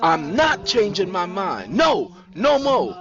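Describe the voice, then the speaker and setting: A defiant young woman, weary and refusing to yield, played by a man. The voice is high and emphatic, in a dramatic story told on a dull recording with some music behind. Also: huge pitch swings, slow, full character voice. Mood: defiant, upset. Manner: stubborn, emphatic.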